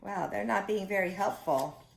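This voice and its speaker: A woman reading a storybook aloud to young children, deliberate, pausing to put questions to her listeners. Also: medium pitch, gravelly, a little hiss or hum, rough recording.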